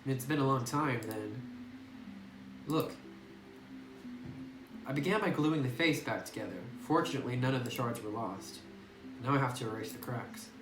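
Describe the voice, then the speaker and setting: A man's voice: mid-range voice, moderate pace, long pauses, over music, lightly acted. A man voicing a lonely, earnest doll repairer in a visual novel, subdued and careful, recorded on a phone microphone. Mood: quiet, careful. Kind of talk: read-aloud visual novel dialogue. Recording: roomy, noisy distant phone microphone.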